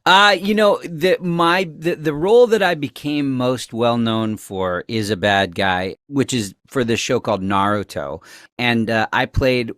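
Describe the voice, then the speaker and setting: A man's voice: mid-range voice, fairly bright tone, no echo. Youthful-sounding man, rambling in a dry recording. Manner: rambling, halting. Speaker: interview guest, voice actor. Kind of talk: casual interview chat.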